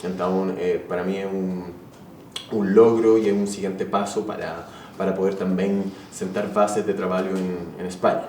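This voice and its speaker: A low-voiced young man from Chile answers interview questions, his Portuguese sprinkled with Spanish words and carrying a Chilean Spanish accent. He sits back from the mic in a roomy space with a faint hiss underneath. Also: flat delivery, moderate pace, dark tone, distant mic. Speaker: actor.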